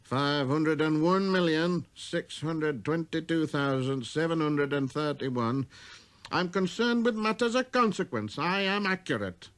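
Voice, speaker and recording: A man's voice: fairly high pitch, unhurried, fairly bright tone, pitched above the performer's own voice. A man voicing a busy, self-important middle-aged businessman in a storybook, irritable and muttering, hesitating over his figures.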